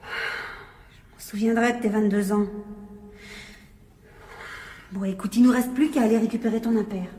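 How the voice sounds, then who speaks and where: Highish-voiced, bossy young woman played by an actress. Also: steady tone, dark tone, lightly acted. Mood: resolute, exasperated. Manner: brisk, sarcastic, colloquial. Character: bossy, teasing, practical young woman, impatient with her friend. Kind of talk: French radio mystery drama acting.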